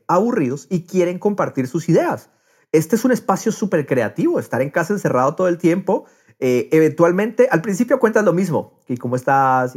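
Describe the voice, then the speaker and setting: A man's voice: on the high side, a little mellow, husky. A man in a casual podcast conversation, speaking with enthusiasm and repeating words as he thinks aloud. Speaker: podcast host.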